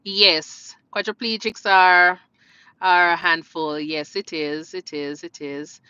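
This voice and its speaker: Bright-voiced Jamaican woman hosting a live chat. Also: fairly low pitch, steady tone, slow pace, clear, resonant tone, no echo, muffled recording. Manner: conversational, thanks and addresses viewers by name. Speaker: live stream host, nurse.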